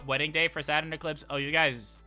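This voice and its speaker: A man's voice: fairly high pitch, steady tone, normal speed, bright, forceful tone, soft background music. A man hosting a casual livestream, chatting in an informal, rambling, jokey way. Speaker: livestream host.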